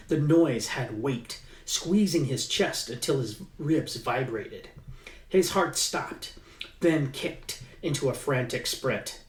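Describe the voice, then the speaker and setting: A man, an author, reading his own novel aloud in an unhurried way, pausing with fillers as he chats and leaning into the tense passages. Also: fairly high pitch, a little mellow. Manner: unhurried, with halting fillers in the chat and livelier emphasis in the reading. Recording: echoey room, mic at a distance.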